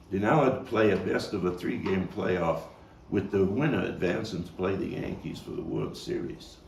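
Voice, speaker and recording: A man performing a theatrical monologue as a haunted Brooklyn man looking back on his boyhood, halting and dramatic with long pauses. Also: deep voice, vocal fry, darkish tone. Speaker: playwright and actor. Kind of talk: theatrical monologue at a table read. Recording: roomy, distant, noisy live capture.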